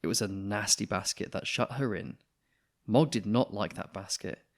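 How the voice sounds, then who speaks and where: A man reading a children's picture book aloud in an expressive storytelling style. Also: on the low side, moderate pace.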